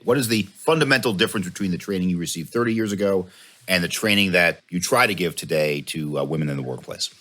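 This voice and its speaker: A middle-aged man interviewing a guest, asking thoughtful, measured questions with frequent hesitant fillers. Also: deep voice, a bit bright. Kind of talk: conversational interview.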